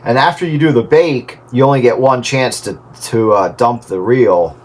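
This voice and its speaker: A middle-aged man in casual radio chat, explaining things in a halting way. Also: medium pitch. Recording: clear steady background noise.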